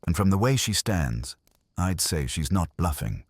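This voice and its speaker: A man's voice: sits low, sing-song, unhurried, breathy edge, no echo, heavily acted. A man voicing a wry, streetwise detective's assistant with casual, slangy, teasing talk in a mystery audiobook. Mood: persuasive.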